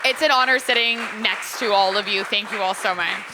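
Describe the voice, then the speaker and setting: A woman's voice: mid-range voice, a little fast, crowd noise, noisy background. A woman moderating a public panel on a corded mic, gracious and effusive in her thanks to the panelists. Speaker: panel host. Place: public panel event before an audience. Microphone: corded handheld mic.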